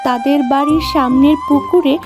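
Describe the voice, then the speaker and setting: A woman narrates a scripted children's cartoon story. Her voice is high, and music plays clearly underneath. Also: fairly even intonation, normal speed.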